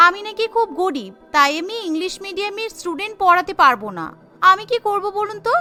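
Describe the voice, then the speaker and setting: Woman voicing high, earnest humble young wife. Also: lively intonation, fairly quick, sharp tone, soft background music, exaggerated voice. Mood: plaintive.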